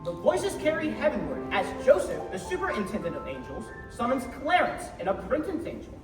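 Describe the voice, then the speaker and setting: A woman's voice: a little high, big pitch swings, brisk, over music. A woman acting as host and narrator of an old-time radio show. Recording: echoey stage from far off, noisy.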